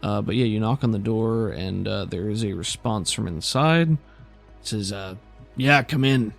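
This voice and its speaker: A man's voice: lowish voice, normal speed, creaky, faint music behind. A man working as game master, narrating an improvised tabletop roleplaying game in a hesitant, conversational way.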